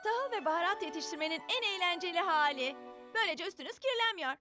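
Casting: bossy but kind kid superheroine in animation, very high animated voice, music behind. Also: female voice, fast talker. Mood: earnest, persuasive. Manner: earnest, organising, articulate. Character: bossy, well-meaning little superhero girl, the sensible leader. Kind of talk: cartoon dub acting.

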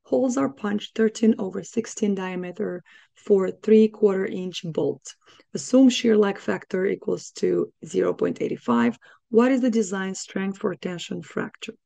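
A woman in her thirties, hoarse from recent laryngitis, explaining engineering exam material in a steady, methodical lesson. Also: medium pitch, measured pace. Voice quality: gravelly voice. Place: small indoor room with a plant.

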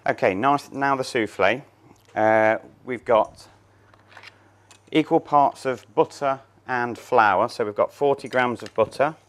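A man's voice: medium pitch, takes it slowly. A man in his thirties, a chef, talking through a cooking demonstration step by step in a large showroom kitchen. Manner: plain, step-by-step explaining while working.

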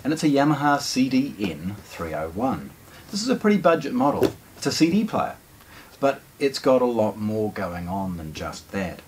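A man's voice: medium pitch, sing-song, normal speed, a little hiss or hum. A man presents a product in a casual, plain-spoken unboxing style, talking to camera indoors. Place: indoors, small showroom with shelves.

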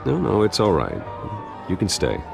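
An actor playing a suave, self-assured young millionaire in a video game, with a smooth, dry, guarded manner. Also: male voice, lowish voice, huge pitch swings, normal speed, a crowd in the background, heavily acted. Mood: charming. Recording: music behind the voice.

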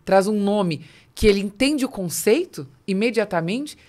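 A woman's voice: mid-range voice, lively intonation, moderate pace, a little mellow. A middle-aged Brazilian woman hosting a business podcast, explaining her points emphatically with repetition for stress. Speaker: podcast host. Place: small padded podcast studio. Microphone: close studio mic on boom arm.

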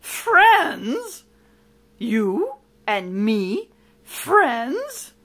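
A woman voicing a horrible monster bully in a storybook, with loud, drawn-out, theatrical exclamations. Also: mid-range voice, sing-song, gravelly, breathy edge, exaggerated voice. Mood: menacing, loud. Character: horrible booming monster bully, secretly lonely and soft.

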